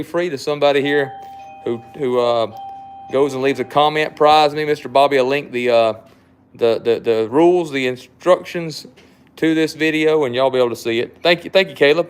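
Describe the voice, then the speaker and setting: A man's voice: a little high, slight background noise. A man from South Mississippi with a Southern accent, hosting a casual livestream, rambling and repeating words as he reads viewer comments. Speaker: livestream host, knife shop owner.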